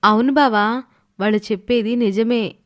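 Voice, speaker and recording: A woman's voice: fairly high pitch, steady tone, normal speed, close to an everyday voice. A woman playing a stubborn, thrifty young village wife who explains herself earnestly in a moral story cartoon. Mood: resolute. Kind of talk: animated moral story voice acting.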